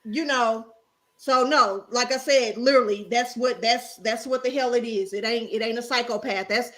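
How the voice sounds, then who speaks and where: Animated, cursing woman in casual talk. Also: on the high side, normal speed. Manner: animated, swears freely, restarts often. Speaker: co-host. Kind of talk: casual chat.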